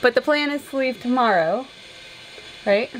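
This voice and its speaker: A woman's voice: fairly high pitch, expressive, moderate pace, slight background noise, rough recording. A woman in her thirties from Indiana, chatting upbeat and jokey to a handheld camera inside a camper. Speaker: RV vlogger. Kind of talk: casual vlog chat to camera. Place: inside a travel trailer. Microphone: handheld camera close up.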